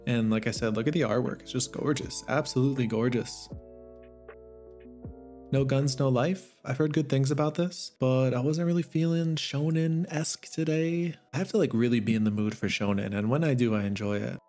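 A man's voice: medium pitch, moderate pace, soft background music. Chatty man with a slightly worn voice. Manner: chatty, enthusiastic, laughs softly. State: voice a little worn. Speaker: manga vlogger. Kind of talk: casual vlog voice-over.

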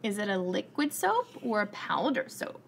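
A woman preschool teacher reading a picture book aloud to young children with expressive storytelling. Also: mid-range voice. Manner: expressive storytelling, pausing to ask the children questions. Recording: clearly noisy room recording.

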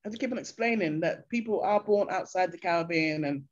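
A woman's voice: on the low side, a little fast. Older woman chatting on a video call. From England. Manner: animated storyteller, acts out voices of locals. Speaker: interview guest. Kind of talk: casual chat. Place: bright room at home. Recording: dull lo-fi video call audio.

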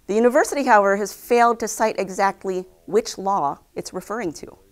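A young adult woman reading scripted news headlines to camera as an anchor, in a formal, measured way. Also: medium pitch. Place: indoor news studio.

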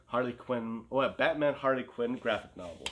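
A man's voice: medium pitch, animated, normal speed, fairly bright tone, a little hiss or hum. A man in his thirties chatting casually as a show co-host in a living room, often searching for words. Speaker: co-host of a comic collecting show. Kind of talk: casual chat.

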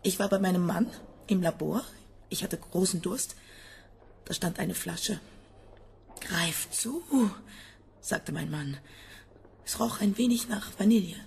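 An actress playing a sick, embittered scientist's wife in a radio drama, agitated, halting and insistent. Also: female voice, lowish voice, gravelly, darkish tone, slight background noise. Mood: bitter, despairing. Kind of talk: German radio drama acting.

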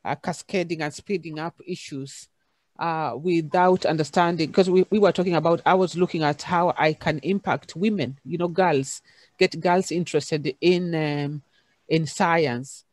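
Kenyan woman speaking haltingly on a call. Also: low voice, a little mellow, dry recording. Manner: halting, many fillers and restarts. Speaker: conference panelist, university lecturer. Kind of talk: unscripted panel discussion. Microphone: video call, camera off.

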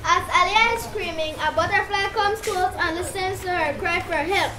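A woman's voice: extremely high pitch, normal speed. A female narrator reading a scripted story for an amateur puppet show. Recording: roomy, noisy live puppet show.

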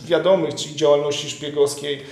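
A man's voice: a little high, level tone, fairly quick, darkish tone. A man in his thirties, a journalist earnestly interviewing a guest, with many fillers and restarts. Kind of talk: political interview. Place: room with leather sofa, indoors. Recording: echoey room.

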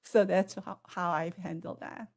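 A woman in her forties with a non-native English accent, speaking on a video-call panel through a headset. She answers in a measured, reflective way with pauses and fillers. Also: on the low side, fairly even intonation.

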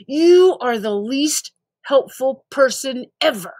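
A woman's voice: high voice, lively intonation, slow, a little mellow, no echo, voice pushed up, full character voice. A woman voicing an exasperated, panicky sixth-grade boy from a storybook, pleading and protesting. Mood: indignant protest.

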